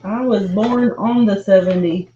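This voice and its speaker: A woman's voice: medium pitch, measured pace, dark tone, muffled recording, a little hiss or hum. A woman in her fifties chatting as a guest on a livestream, teasing and dry. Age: 50s. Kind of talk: casual chat.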